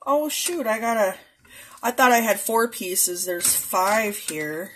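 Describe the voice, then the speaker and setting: A woman's voice: medium pitch, lively intonation, slow, a bit bright. Chatty woman cooking at home. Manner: chatty, homey, talks to viewers as y'all. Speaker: cooking vlogger. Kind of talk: casual cooking demo narration. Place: home kitchen, indoors.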